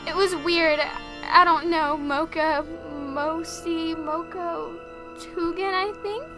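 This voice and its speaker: A woman's voice: very high-pitched, steady tone, slow pace, music in the background, exaggerated voice. A woman playing a grieving young college student who speaks in a raw, bitter, on-edge way. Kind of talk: amateur radio drama acting.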